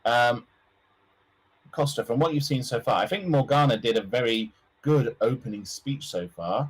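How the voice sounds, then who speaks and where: A man commentator explaining the live game in a calm, explanatory way. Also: mid-range voice, moderate pace, slightly rough, poor audio.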